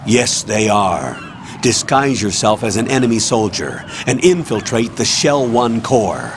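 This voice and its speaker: A man's voice: on the low side, big pitch swings, unhurried. An actor playing a stern male colonel giving firm orders over radio in a video game cutscene. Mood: commanding. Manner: firm, commanding, evasive. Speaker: commanding officer on radio. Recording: game mix with clear background noise.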